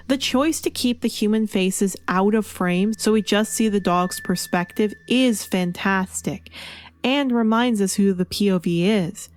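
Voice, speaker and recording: A woman with a medium-pitched voice narrates a scripted review. She speaks as an opinionated host and puts emphasis on her criticisms. Music plays behind her voice. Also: darkish tone. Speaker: comic book reviewer and show host. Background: music under the voice.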